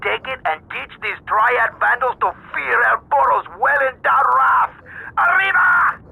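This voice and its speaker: Flamboyant bright-voiced Spanish-accented gangster. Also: male voice, very high voice, measured pace, vocal fry, slightly rough, heavily acted, poor audio. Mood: indignant, grandiose. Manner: florid, boastful. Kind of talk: cartoonish video game cutscene acting.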